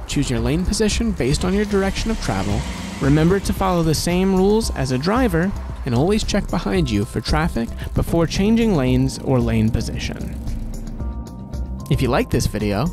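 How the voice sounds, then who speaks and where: A man reading a scripted instructional safety narration in an even, instructive manner. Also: a little high, normal speed, over music. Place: voice-over over animation with background music.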